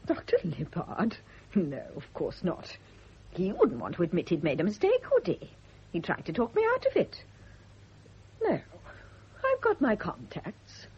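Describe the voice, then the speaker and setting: An actress playing a bitter, righteous, suspicious nurse, indignant and insinuating, in a radio drama. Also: female voice, fairly low pitch, huge pitch swings, unhurried, breathy edge, full character voice. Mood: righteous accusation. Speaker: private nurse. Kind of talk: 1970s radio drama acting. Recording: dull lo-fi old radio recording.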